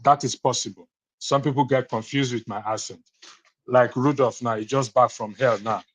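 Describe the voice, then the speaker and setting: A man's voice: mid-range voice, fairly even intonation, normal speed. A Nigerian man on a call-in broadcast, firmly insisting that his point is on record. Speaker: caller on a live broadcast. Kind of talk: heated call-in debate.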